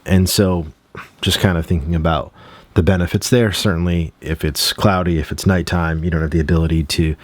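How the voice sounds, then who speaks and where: Low-voiced male reviewer, loose and unscripted, frequent throat clearing, dry close sound. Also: steady tone, brisk, no room sound. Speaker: product reviewer. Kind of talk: casual product review.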